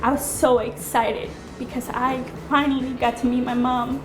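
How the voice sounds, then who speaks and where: A woman's voice: sits high, little pitch movement, normal speed, poor audio. A woman from El Salvador, a cooking show contestant, talking earnestly and emotionally in an interview. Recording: music bed underneath.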